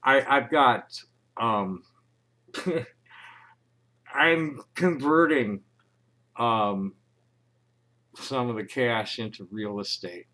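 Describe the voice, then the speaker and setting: A man making a casual phone call, talking informally in a rambling, halting way. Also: medium pitch, bright, forceful tone. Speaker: caller.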